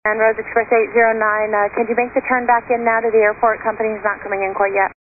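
Even-toned woman on tinny radio. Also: on the high side, fast talker. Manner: procedural, matter-of-fact. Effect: aviation radio. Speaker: air traffic controller. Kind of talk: radio instructions to pilots. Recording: tinny narrowband air traffic radio, faint static.

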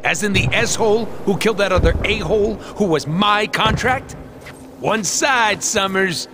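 An actor playing a cocky, childish, wisecracking antihero mercenary in a video game, sarcastic and hyperactive. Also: male voice, high-pitched, measured pace, background noise. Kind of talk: video game cutscene acting. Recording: game music behind the voice.

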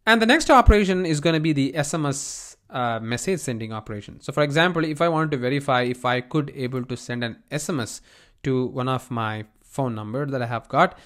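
A man presenting a software tutorial as an informal screencast, explaining step by step and often repeating his phrases. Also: medium pitch, fairly quick. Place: voice-over on a screen recording.

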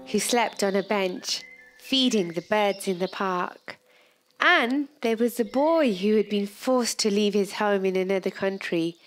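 A young woman narrates a children's storybook at a slow, measured pace. Her delivery is expressive and deliberate, with careful pauses, over clearly audible background music. Her pitch sits in a middle range for a woman. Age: young adult. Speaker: primary school teacher reading a storybook. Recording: music playing behind the voice.

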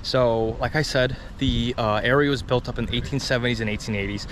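A man's voice: mid-range voice, steady tone, a little fast, a bit bright. Chatty man vlogging on a noisy street. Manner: casual, chatty, many fillers. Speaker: travel vlogger. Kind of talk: walking tour commentary. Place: outdoors on small-town streets. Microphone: handheld camera at arm's length. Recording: outdoor street noise behind.

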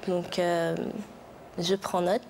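A woman's voice: on the low side, little pitch movement, takes it slowly, a little mellow, slight background noise, poor audio. A woman in her twenties answering carefully and hesitantly in a TV studio, full of fillers. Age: 20s. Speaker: guest. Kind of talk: TV talk show reply. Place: large TV studio with audience. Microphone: lapel mic.

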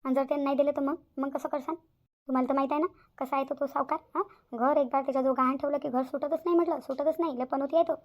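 A woman's voice: high voice, fairly even intonation, racing, muffled recording, natural voice. A woman voicing a worried, stubborn village mother in a Marathi cartoon, plaintive and repetitive, with a Vidarbha Marathi accent. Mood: upset, pleading.

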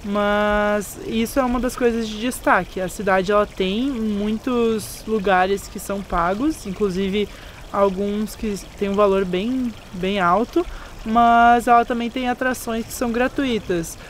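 A young woman from Brazil narrating a travel vlog in a calm, descriptive way, speaking outdoors at scenic spots. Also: mid-range voice, unhurried, a little hiss or hum. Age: young adult. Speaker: travel vlogger. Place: outdoors on a windy overlook and city streets. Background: background music.

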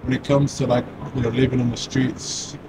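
A man's voice: medium pitch, little pitch movement, moderate pace. A man asking questions casually as a street interviewer. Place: outdoors in a city street at night. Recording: noisy street with traffic ambience.

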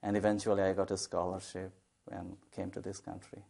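A man from Bangladesh, an English professor and poet, answering questions in a TV studio interview in a measured, thoughtful way with many fillers. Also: low-pitched, monotone, creaky, dark tone. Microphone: lapel.

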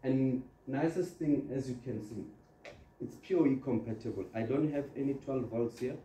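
Dark, echoey man giving product training. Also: medium pitch, moderate pace. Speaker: trainer presenting security products to installers. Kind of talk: informal product training presentation. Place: indoor presentation room with large screen. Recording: dark, echoey, distant room recording with noise.

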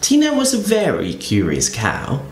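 A man reading a children's picture book aloud as a storyteller, in an expressive, very animated way. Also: mid-range voice, a little slow, creaky. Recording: echoey room with faint ambient noise.

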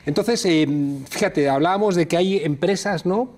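A man's voice: high voice, normal speed, a little mellow. A middle-aged man hosting a TV studio interview, asking conversational, leading questions. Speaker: TV interview host. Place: TV studio desk.

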